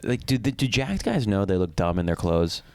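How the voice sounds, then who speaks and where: A young man co-hosting a comedy podcast, riffing in a deadpan, casual way and repeating his points. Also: fairly low pitch, moderate pace, dry recording. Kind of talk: casual banter.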